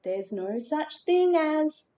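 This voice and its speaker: A woman's voice: high voice, sing-song, slow pace, mellow, pitched above the performer's own voice, exaggerated voice. A woman voicing a dismissive, teasing big sister in a children's storybook. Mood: taunting. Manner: dismissive, taunting. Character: scornful, teasing big sister, a young girl.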